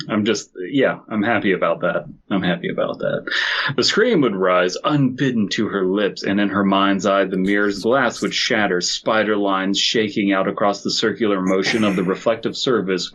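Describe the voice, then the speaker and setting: A man's voice: lowish voice, a bit bright. A man co-hosting a comedy podcast, rambling and repeating himself in casual banter and read-aloud. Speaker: podcast co-host. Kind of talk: casual comic banter and reading fan fiction aloud.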